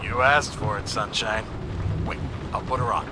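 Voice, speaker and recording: 男性が演じるぶっきらぼうな警察署長、激しい騒音の中. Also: male voice, fairly low pitch, fairly even intonation, normal speed, close to an everyday voice. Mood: wry, exasperated. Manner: blunt, teasing, businesslike. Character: brusque, wry male police chief. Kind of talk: video game acting. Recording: loud urban traffic noise behind.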